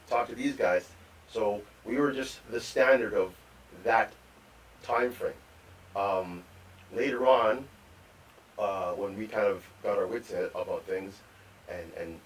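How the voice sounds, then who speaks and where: A man co-hosting a live podcast, telling rambling stories about growing up, with repeated words and animated quotes. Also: lowish voice, a little hiss or hum. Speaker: podcast co-host. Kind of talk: storytelling in casual chat. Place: live podcast recording venue. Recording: distant mic with faint room ambience.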